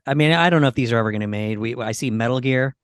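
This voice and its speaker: A man hosting a casual podcast about movies, thinking aloud in a halting way. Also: mid-range voice, smooth, dry recording, studio quality. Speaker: podcast host.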